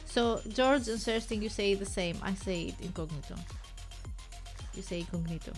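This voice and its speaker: A young woman with a Greek accent, hosting a relaxed live stream and chatting playfully with viewers while she works on a website. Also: mid-range voice, normal speed, soft background music. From Greece. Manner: chatty and playful, talks to chat viewers by name, thinks aloud while working. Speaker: livestream host.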